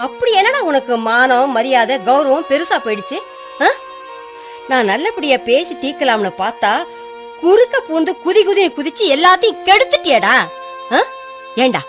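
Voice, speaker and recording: A woman playing a middle-aged, worried and reproachful mother in a Tamil radio drama, expressive and admonishing. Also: high-pitched, heavily acted. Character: worried, reproachful mother of a hot-headed son. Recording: faint music bed under the voice.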